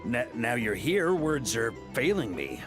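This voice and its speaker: A man's voice: mid-range voice, sing-song, unhurried. A man voicing a jovial, gracious knightly grandmaster with a courtly, effusive manner in a video game. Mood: grateful, jovial. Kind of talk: video game character acting. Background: loud game music.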